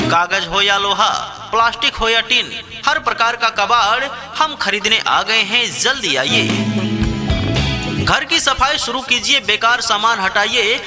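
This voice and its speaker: A man reading a scripted street-hawking advertisement as an announcer, in short, repetitive salesman's calls. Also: sits high. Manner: repetitive salesman's call, short commanding phrases. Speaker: street announcer for a scrap buyer. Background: background music.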